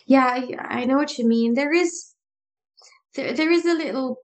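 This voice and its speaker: A woman co-hosting a casual talk about improv, animated and often restarting her sentences with a laugh. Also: sits high, moderate pace. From Philippines. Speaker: improv podcast co-host. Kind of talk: casual chat.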